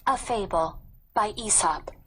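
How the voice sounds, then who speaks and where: A woman reading a scripted storybook narration in a measured, careful manner. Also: mid-range voice, a bit bright, breathy edge. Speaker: audiobook narrator.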